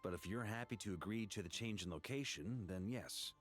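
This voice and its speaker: An actor voicing a seasoned, pragmatic knight commander in a video game, talking in a measured, informal way. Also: male voice, on the low side, husky, soft background music. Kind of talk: scripted video game dialogue acting.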